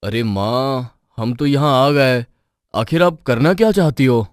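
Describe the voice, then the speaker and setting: A man's voice: medium pitch, unhurried, smooth, dry recording, studio quality, close to an everyday voice. A man playing an exasperated young adult son in a cartoon story, aggrieved and reproachful. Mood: puzzled. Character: exasperated adult son caught between quarrelling women. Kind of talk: Hindi cartoon story acting.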